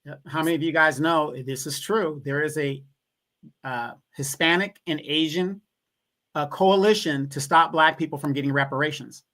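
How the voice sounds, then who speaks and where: Emphatic man co-host, bright voice. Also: fairly high pitch, moderate pace. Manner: emphatic, makes points by repeating them. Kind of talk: casual livestream commentary.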